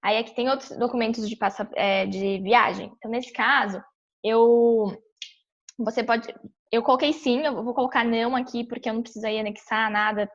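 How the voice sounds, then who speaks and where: A young woman from São Paulo with a Brazilian accent, explaining a screen-share tutorial in a chatty way, full of fillers and restarts. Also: on the high side. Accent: São Paulo Brazilian Portuguese. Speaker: vlogger walking through a visa application. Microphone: webcam on a computer. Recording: dull lo-fi webcam audio.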